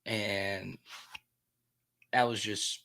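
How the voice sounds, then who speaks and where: A man's voice: fairly low pitch, little pitch movement, drawn-out words, gravelly, sharp tone, dry recording. A man in his thirties hosting a sports podcast livestream, chatting casually as he reads viewer comments, laughing often and tripping over restarts. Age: 30s.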